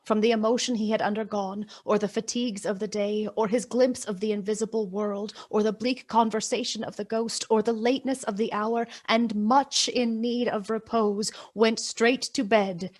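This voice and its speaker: A woman giving measured, expressive scripted narration as the storyteller of a radio drama. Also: mid-range voice.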